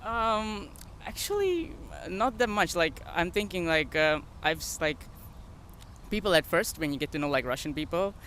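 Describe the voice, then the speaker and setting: A man of twenty-six from Sri Lanka giving a casual street interview, halting and often saying "like". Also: high voice, animated, a bit bright. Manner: halting, filler-heavy with frequent "like". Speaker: foreign student interviewee. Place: outdoors on a city street. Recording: outdoor street, faint traffic noise.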